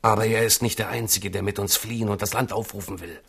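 A man's voice: lowish voice, steady tone, fast, creaky, a bit bright, husky, full character voice. An actor playing a young king's loyal, scheming companion, insistent and rhetorical, in a radio play. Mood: persuasive. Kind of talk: 1970s radio play acting.

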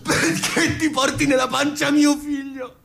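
An actor playing a wounded, volatile husband in a theatrical radio drama, insistent and emotionally heated. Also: male voice, very high voice, little pitch movement, a little slow, vocal fry, fairly bright tone, full character voice. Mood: furious, spiteful. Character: wounded, volatile husband, pleading then spiteful. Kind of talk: theatrical stage-play acting for radio.

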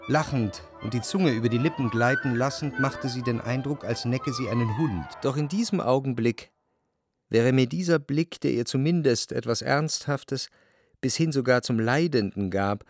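An actor playing a jealous, brooding narrator who speaks in measured, reflective long sentences in a literary radio drama. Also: male voice, mid-range voice, close to an everyday voice. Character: jealous, brooding male narrator, introspective and anxious.

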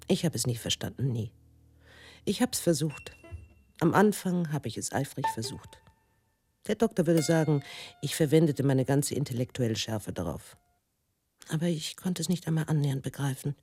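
A woman playing an embittered, wounded, educated wife in a radio drama monologue, husky and brooding, in deliberate, halting phrases. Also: low voice, animated, warm tone, dry recording, soft background music. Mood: baffled, brooding. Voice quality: husky, gravelly.

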